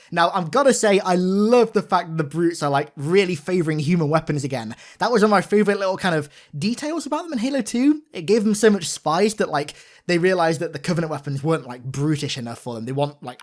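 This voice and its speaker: A man giving an informal, enthusiastic gameplay breakdown as a gaming commentator, talking like an excited fan. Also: fairly high pitch.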